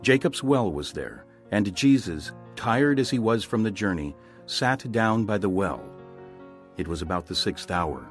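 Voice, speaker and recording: A man narrating scripture from a script in a measured storytelling manner. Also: lowish voice, animated, soft background music.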